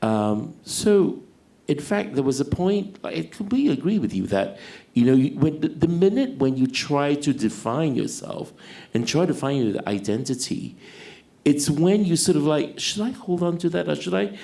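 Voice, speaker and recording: Gravelly Singaporean man, reflective. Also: on the high side, sing-song, mellow. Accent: Singaporean English. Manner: reflective, hesitant, many "um" pauses. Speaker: fashion designer, panelist. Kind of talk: panel discussion.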